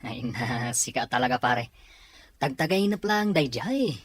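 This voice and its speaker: A man's voice: mid-range voice, lively intonation, unhurried, breathy edge, no room sound. A man with a Filipino accent acting an easygoing young buddy in an amateur audio drama. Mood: reassuring. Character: easygoing male buddy calming his friend.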